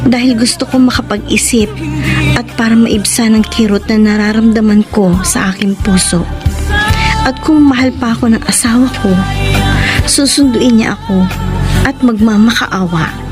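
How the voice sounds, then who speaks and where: Wounded young Filipino wife for radio drama, played by an actress: earnest, sorrowful, fairly high voice, prominent music underneath. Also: female voice, moderate pace, natural voice. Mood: aching, hopeful. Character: hurt, soft-spoken young wife narrating her letter.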